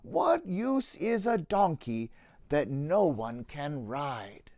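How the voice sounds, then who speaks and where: A man plays a mean, tough donkey owner from a children's storybook. He scolds with huffy impatience, his pitch lifting and dropping sharply. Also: big pitch swings, slow pace, a little mellow, no echo, full character voice. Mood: angry, dismissive.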